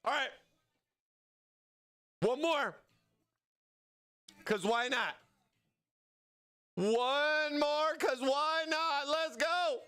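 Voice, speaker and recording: A man hosting a livestream giveaway, excitable and playful as he hypes up his chat, his voice strained from overuse. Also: extremely high pitch, very slow, long pauses, faint music behind. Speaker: livestream host.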